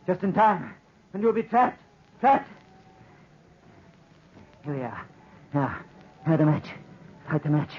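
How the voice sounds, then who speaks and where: A man's voice: on the high side, big pitch swings, takes it slowly, pauses often, husky, heavily acted. An actor playing a frantic, tormented madman who obsessively repeats himself, in 1940s radio horror style. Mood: manic. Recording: dull, hissy old radio recording.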